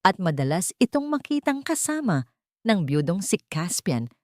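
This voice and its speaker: Woman narrating a drama audio story, low voice, steady and measured, dry close sound. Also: no echo.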